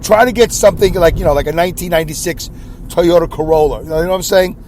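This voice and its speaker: A man's voice: fairly high pitch, quick pace, a little mellow. Scratchy-voiced man rambling in a car. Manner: self-deprecating, rambling, frequent throat clearing. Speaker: podcast host. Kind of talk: solo rambling podcast monologue. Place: inside a car.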